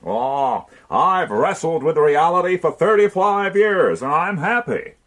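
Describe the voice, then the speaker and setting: A man's voice: on the high side, very animated, a little slow, fairly bright tone. A man playing a sardonic, world-weary, middle-aged police inspector in a British radio crime drama, with dry, biting delivery. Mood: wry, relaxed.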